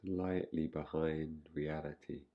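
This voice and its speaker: A man guiding a relaxation meditation in an unhurried manner, leaving long pauses between phrases. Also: rumbling low, little pitch movement, muffled recording. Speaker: meditation guide.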